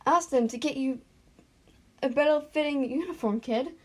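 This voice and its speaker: A woman's voice: high-pitched, normal speed, a little mellow, slight background noise, natural voice. A woman voicing a slightly strict but kindly female school counsellor who admonishes with exasperation in a story reading. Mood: exasperated, concerned.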